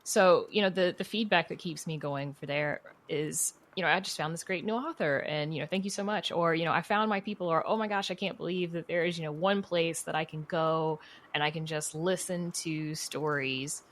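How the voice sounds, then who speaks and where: A woman's voice: low-pitched, moderate pace. A woman speaking casually and candidly as an interview guest, chatty and informal, peppering her talk with "you know" and "like". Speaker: interview guest, writer and audio fiction producer.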